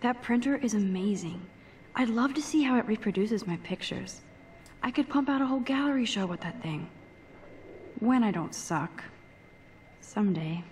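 An actress playing a shy, self-deprecating teenage photography student, thinking aloud casually in a video game. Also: female voice, mid-range voice, expressive, normal speed, pauses often, darkish tone, slight background noise, close to an everyday voice. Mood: impressed musing. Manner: casual, introspective, understated. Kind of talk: inner monologue in a video game.

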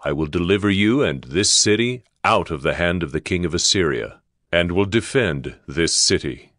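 Casting: grave biblical prophet for scripture audio drama; deep, declamatory, commanding, closely recorded dry sound. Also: male voice, animated, moderate pace, a bit bright, no room sound. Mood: solemn proclaiming. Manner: authoritative, declamatory. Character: grave male prophet delivering God's word.

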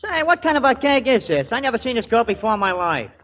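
A man's voice: very high-pitched, sing-song, quick pace, slight background noise. An actor playing a cocky, menacing crook in a 1970s radio drama, talking in slangy, sneering wise-guy style. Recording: dull old radio recording.

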